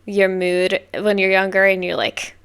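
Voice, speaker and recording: A woman hosting a music reaction video, talking casually and rambling with lots of filler words, with bursts of excited whoops. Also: medium pitch, unhurried, clean tone. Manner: chatty, rambling, heavy on "like". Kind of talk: casual first-listen reaction.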